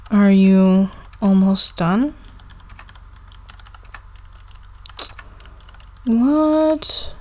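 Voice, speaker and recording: A needy, playful girlfriend acted by a woman in an intimate ASMR roleplay. She talks very slowly and softly at a medium pitch, with halting, pleading phrases that sound affectionate and eager for cuddles. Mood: playful, curious. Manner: soft, halting, pleading, giggly delivery.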